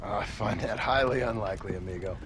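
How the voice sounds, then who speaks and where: An actor playing a laconic frontier gunslinger in a western game, speaking with dry sarcasm. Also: male voice, on the low side, a little fast, a bit bright, slight background noise, lightly acted. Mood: sarcastic.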